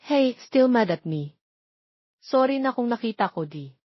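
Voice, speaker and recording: Woman as husky, flirty Filipino husband. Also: fairly high pitch, animated, measured pace, no echo, dull audio, voice pushed up. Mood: sweet, coaxing. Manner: blunt, teasing, mixes English and Tagalog. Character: smooth, confident, jealous male romantic lead, flirty.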